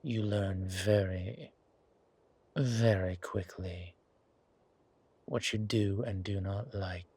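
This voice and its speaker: Seductive ageless vampire played by a man, low-pitched, unhurried, hushed and menacing, for horror ASMR roleplay. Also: steady tone, breathy edge, heavily acted. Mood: indulgent, amused. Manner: slow, deliberate, hushed, soothing yet menacing. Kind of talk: ASMR horror roleplay, intimate monologue to the listener.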